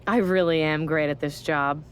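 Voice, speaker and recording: A woman's voice: low-pitched, fairly even intonation, moderate pace, slight background noise, natural voice. A woman voicing a smug, scheming female wrestling general manager in a video game cutscene, talking down with sarcasm. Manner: condescending, sarcastic.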